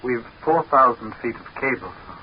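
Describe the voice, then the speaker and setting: A man's voice: medium pitch, expressive, normal speed, natural voice. Earnest young scientist, acted by a man, muffled radio. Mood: guarded, formal. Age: young adult. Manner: clipped, formal, guarded. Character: earnest young scientist, deferential bathysphere doctor. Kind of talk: 1940s radio drama acting. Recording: muffled, hissy 1940s radio recording.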